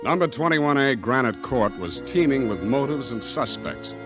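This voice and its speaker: An American actor playing a tough, wisecracking private detective, wry and blunt, in a 1940s radio drama. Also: male voice, medium pitch, expressive, normal speed, lightly acted. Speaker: lead actor and narrator. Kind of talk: 1940s hard-boiled detective radio acting and narration. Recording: old 1940s radio recording, music behind.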